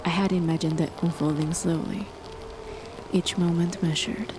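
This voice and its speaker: A woman voicing a cold, controlling female chemist spouse in a soft, measured, often whispered ASMR horror roleplay, her tone condescending. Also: lowish voice, natural voice. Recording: noisy with rain behind. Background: steady rain.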